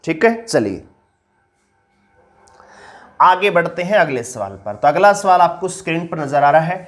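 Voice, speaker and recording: Man teaching with a sore throat. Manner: questions the viewers, then answers himself low and slow; steady, explaining step by step. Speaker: reasoning teacher. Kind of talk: online exam-prep lesson.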